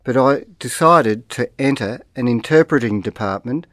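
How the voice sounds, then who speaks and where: A man reading scripted model interview answers aloud in a slow, carefully enunciated way, like a language course recording. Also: medium pitch, a bit bright, dry recording.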